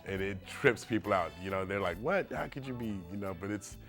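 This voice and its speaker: A middle-aged man who paints shoes, talking about his work in a documentary interview in a relaxed, rambling way with many fillers. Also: on the low side, a little fast, soft background music. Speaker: shoe painter.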